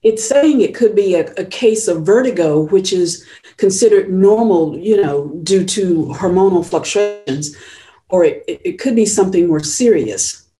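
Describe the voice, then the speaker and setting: A woman's voice: mid-range voice, expressive, normal speed, mellow, close to an everyday voice, low-quality recording. Woman acting an anxious, polite sister. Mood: uncertain. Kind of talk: script table read.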